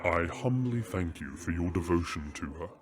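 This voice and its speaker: A man's voice: low voice, lively intonation, normal speed, a crowd in the background. A man playing a regal old dragon king and father in an audio fantasy drama, with a stately, theatrical delivery. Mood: solemn.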